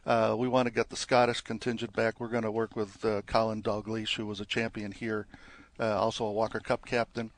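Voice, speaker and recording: A man who organizes a junior golf tournament, answering interview questions in a halting way with many fillers and restarts. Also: lowish voice, flat delivery.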